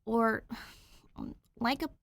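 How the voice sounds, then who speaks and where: A woman playing a gruff young girl. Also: fairly high pitch, wildly swooping pitch, very slow, no echo, pitched above the performer's own voice, full character voice. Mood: defensive.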